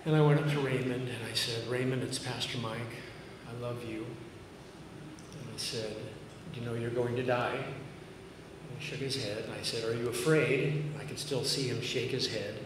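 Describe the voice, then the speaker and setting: Massachusetts-accented pastor in an echoey hall. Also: male voice, mid-range voice, steady tone, moderate pace. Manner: earnest, conversational preaching with dry self-deprecating humor. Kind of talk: sermon. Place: large church auditorium stage. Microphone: podium mic, filmed by a far camera. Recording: echoey hall from far off with noise.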